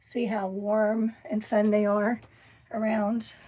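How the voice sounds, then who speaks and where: Hoarse chatty woman touring her van. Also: medium pitch, level tone, mellow, rough recording. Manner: chatty and enthusiastic, pausing while showing things. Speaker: vlogger. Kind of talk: casual home tour vlog. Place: inside a small camper van.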